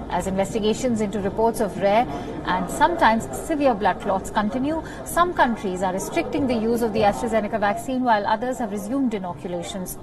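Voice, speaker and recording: A woman's voice: medium pitch, low-quality recording. A woman presenter reading scripted news narration in a formal register.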